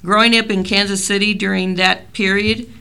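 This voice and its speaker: A woman leads an oral history interview in a bright, forceful voice with a gravelly edge. She reads her introduction formally and asks her questions plainly. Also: medium pitch, little pitch movement, moderate pace. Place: library interview room.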